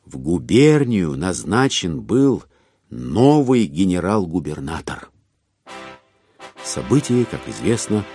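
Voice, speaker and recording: Wry male storyteller, emphatic theatrical narration, low register, highly animated, music behind. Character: wry storyteller of a satirical classic novel.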